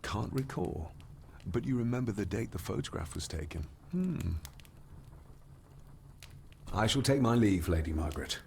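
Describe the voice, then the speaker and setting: A young heir in a period mystery game, his pitch moving freely as he probes with formal politeness. Some background noise sits behind him. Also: big pitch swings, moderate pace, vocal fry, pauses often, a little mellow. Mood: suspicious, impatient, then polite, probing. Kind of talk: video game drama dialogue and inner monologue.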